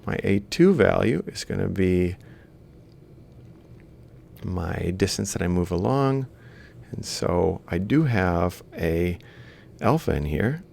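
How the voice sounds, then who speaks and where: Low, very creaky male lecturer. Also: darkish tone, slight background noise. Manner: explanatory, step by step, reciting values piecemeal. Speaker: university lecturer. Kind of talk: instructional screen-capture lecture, thinking aloud while writing.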